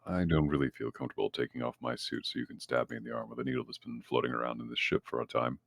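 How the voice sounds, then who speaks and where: Male player, extremely low creaky voice, wary and firm, tabletop roleplay. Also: fairly even intonation, rapid-fire, dry recording. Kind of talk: in-character roleplay talk.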